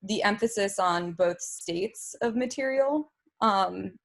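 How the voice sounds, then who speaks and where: A woman's voice: medium pitch, takes it slowly, gravelly. A woman hosting a podcast, asking long, rambling questions full of fillers and restarts. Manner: rambling, hesitant, many fillers. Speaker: podcast host. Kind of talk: casual conversation.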